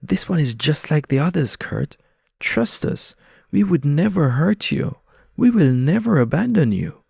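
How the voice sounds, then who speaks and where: A man voicing a pair of paranoid talking pigeons that coax and nag, in a gravelly voice pushed high. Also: very animated, measured pace, a little mellow, no echo, studio quality, heavily acted. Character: nagging paranoid pigeons, shrill and coaxing.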